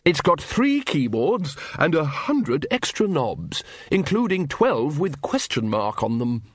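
A man acts out a hearty old wizard headmaster for a fantasy storybook. He blusters along absent-mindedly and trips into ums and uhs, his pitch lifted and swooping. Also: big pitch swings, slow pace, husky, dry recording, full character voice. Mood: hearty, booming.

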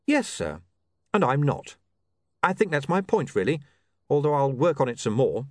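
A man's voice: medium pitch, sing-song, normal speed, a little mellow, no echo, clean studio sound, voice pushed up, close to an everyday voice. A man voicing a polite, stubborn young male reporter in a storybook, deferential yet persistent. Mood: politely stubborn.

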